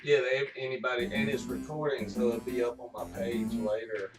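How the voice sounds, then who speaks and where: A man's voice: mid-range voice, little pitch movement, normal speed. Distant, roomy-sounding man hosting a casual stream. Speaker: live stream host. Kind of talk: casual pre-show chat. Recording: roomy, distant mic, music behind.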